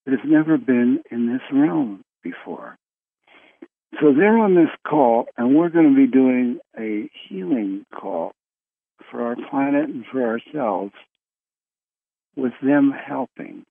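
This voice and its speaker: A man hosting a spiritual conference call, talking in a slow, rambling way with restarts. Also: medium pitch, animated, creaky. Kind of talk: casual call-in talk. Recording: tinny phone line.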